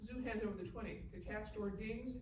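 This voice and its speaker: A woman's voice: mid-range voice, quick pace, a little mellow. A woman reading stage directions as scripted narration at a live table read. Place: live festival event room. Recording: echoey, distant, noisy live room.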